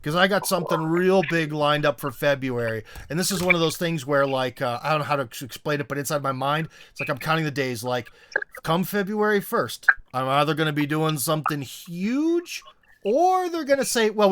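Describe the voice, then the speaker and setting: Laid-back male host, slightly higher voice. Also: lively intonation, a little fast, a bit bright, slight background noise. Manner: laid-back, chummy, with filler-heavy asides. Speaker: show host. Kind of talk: casual interview chat.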